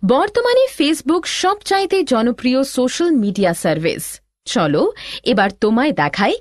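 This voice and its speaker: A woman's voice: sits high, big pitch swings, moderate pace, dry recording, studio quality. A woman playing a helpful elder sister, giving scripted, reassuring instruction in an educational cartoon. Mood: instructive. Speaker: tutorial narrator and teacher.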